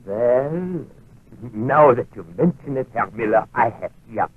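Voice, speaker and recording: Casting: middle-aged German Nazi official, slippery and ingratiating, wide pitch swings, narrow vintage radio sound. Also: male voice, lively intonation, measured pace, breathy edge, dry recording. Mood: flustered, evasive. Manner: formal, ingratiating, hedging. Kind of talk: 1940s radio acting. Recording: tinny, narrow-band 1940s radio recording.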